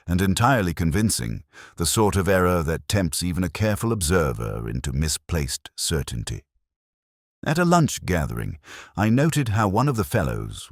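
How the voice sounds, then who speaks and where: A man with an extremely deep voice narrates a literary detective story in the first person. His delivery is formal, measured and reflective, with a lively rise and fall in intonation. The recording is close and dry. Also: no room sound.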